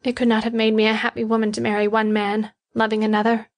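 A woman's voice: on the high side, completely flat delivery, quick pace, voice pushed up, lightly acted. A woman voicing a proud, defiant young woman in love, emphatic and earnest, in an audiobook. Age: young adult. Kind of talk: audiobook character dialogue.

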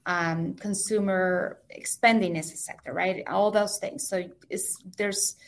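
A woman's voice: on the low side, steady tone, takes it slowly. A woman with a heavy Mexican Spanish accent, a native Spanish speaker, patiently explaining finances step by step as an interview guest.

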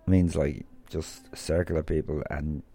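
A man's voice: a bass voice, fairly even intonation, moderate pace, gravelly, mellow. An Irish man hosting a podcast, chatting loosely and rambling through stories before interviewing a guest. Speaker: podcast host. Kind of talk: casual rambling monologue and interview.

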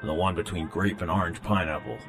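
A man's voice: low voice, fairly even intonation, a little fast, music in the background. A man playing an exasperated, sarcastic holidaymaker, grumbling incredulously in a comic audio drama.

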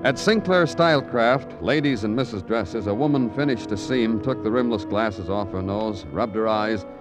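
A man's voice: fairly low pitch, moderate pace, over music, natural voice, low-quality recording. Man playing a terse hard-boiled radio detective. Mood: deadpan. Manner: clipped, deadpan, hard-boiled. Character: terse, wry, world-weary male police detective narrator. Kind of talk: old-time radio detective drama, narration and dialogue. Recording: vintage radio recording with crowd sounds.

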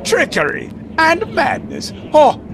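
A man's voice: extremely high pitch, huge pitch swings, slow, noisy background, full character voice. A man playing a gleefully deranged, mocking and bloodthirsty god in a radio drama, flamboyant and lurching between glee and menace. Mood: manic mockery. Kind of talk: old-time radio drama acting.

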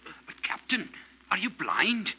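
A bewildered, shocked sailor in a forties radio play. His hoarse, breathy voice swings wildly in pitch on an old lo-fi recording. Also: big pitch swings, unhurried, full character voice. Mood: incredulous. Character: shocked, bewildered ship's crewman. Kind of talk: 1940s radio drama acting.

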